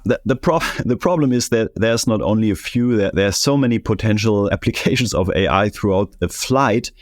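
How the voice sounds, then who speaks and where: A man's voice: lowish voice, lively intonation, fairly quick, no room sound, clean studio sound. A man answering interview questions as an expert guest, thoughtful and often restarting his phrases. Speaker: guest, air traffic control expert.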